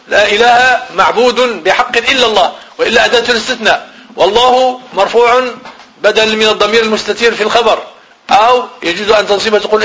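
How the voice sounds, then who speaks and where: A man's voice: sits high, bright, forceful tone, a little hiss or hum, low-quality recording. A man with a Moroccan Arabic accent teaching a grammar lesson. He repeats phrases, asks the class questions and then answers them himself.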